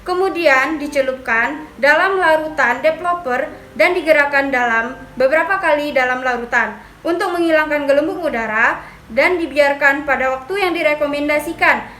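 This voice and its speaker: A young woman with a high-pitched voice delivers a formal, scripted lesson as a student presenter. She sounds set back from the microphone in an echoey space, reciting carefully. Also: a bit bright, a little hiss or hum. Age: young adult. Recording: echoey room, distant mic, music behind. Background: music.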